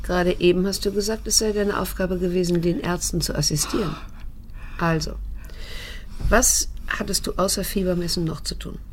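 An actress playing a reserved, middle-aged daughter who questions pointedly and with control, in a creaky-voiced radio drama performance. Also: female voice, on the low side, fairly even intonation, normal speed, slight background noise, natural voice. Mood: insistent, cool. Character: grown daughter, reserved, probing, coolly determined.

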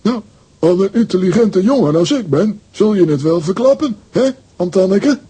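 High, husky, blustering cartoon hero. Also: male voice, lively intonation, normal speed, warm tone, exaggerated voice. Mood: smug, wary. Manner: boastful, indignant. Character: vain, gullible, blustering comic cartoon hero, adult man. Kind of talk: children's audio drama acting.

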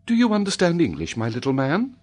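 A man voicing a kind, gently concerned noble lady in a storybook reading. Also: fairly high pitch, very animated, brisk, voice pushed up. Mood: gently curious.

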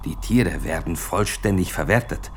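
An actor in a German radio drama playing a sick, frightened slaughterhouse guard giving halting, agitated testimony. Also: male voice, deep voice, husky. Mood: grim, haunted.

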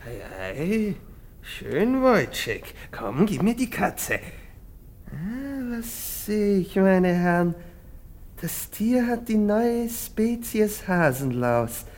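Pompous doctor with a high, swooping voice. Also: male voice, very animated, extremely slow, darkish tone, exaggerated voice. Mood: excited, condescending. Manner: pompous, exclamatory, theatrical lecturing. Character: pompous, callous doctor lecturing students, manic and condescending. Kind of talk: radio drama acting.

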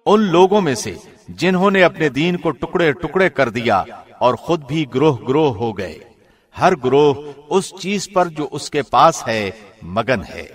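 A man, a professional radio host, reading a translation aloud in a measured, formal way. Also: on the high side. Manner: measured, formal, even delivery. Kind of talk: scripted reading.

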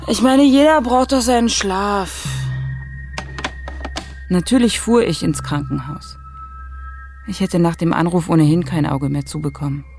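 An actress playing a caring, tired working woman in a radio play, gentle and earnest. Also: mid-range voice, lightly acted. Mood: flustered, then weary, reflective. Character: caring working woman, anxious, tired foster-mother figure. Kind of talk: radio play dialogue and narration.

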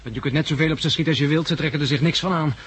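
A man's voice: on the high side, very fast, fairly bright tone, slightly rough. An actor playing a thoughtful, anxious male survivor, musing and hesitant, in a 1960s radio drama. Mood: bitter, reflective. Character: thoughtful male plant-grower survivor, anxious, searching for his woman. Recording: vintage 1969 radio play recording.

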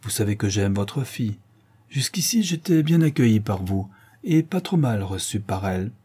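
A man's voice: on the low side, lively intonation, normal speed, dark tone, breathy edge. A man voicing a blunt, brash young river boatman. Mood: earnest.

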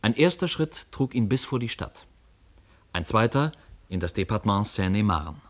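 A man's voice: lowish voice, very animated, moderate pace. A man narrating a story for a radio play in scripted storytelling style.

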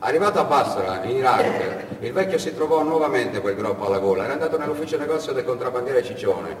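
A male storyteller with a mid-pitched voice delivers a theatrical monologue, pausing and repeating phrases. He sounds distant in a reverberant hall. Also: expressive. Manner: theatrical, repetitive phrasing with pauses. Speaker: storyteller and stage actor. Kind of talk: dramatic narrated monologue. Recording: echoey hall, distant mic, background noise.

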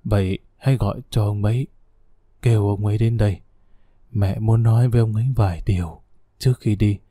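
A man voicing a dying old mother who speaks in a faint, halting whisper. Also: mid-range voice, mellow, slightly rough, no echo, clean studio sound. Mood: weak, tender.

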